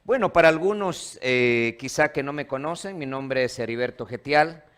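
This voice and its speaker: A man's voice: mid-range voice, expressive, moderate pace, a bit bright, smooth. A man from Ecuador, a pastor preaching a sermon in a church and quizzing the congregation in call-and-response. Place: church hall.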